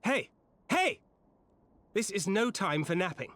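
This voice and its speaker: A man playing a brisk, commanding comrade in a fantasy video game, with a husky voice. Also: high-pitched, very animated, a bit bright, no echo, heavily acted. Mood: brisk urgency.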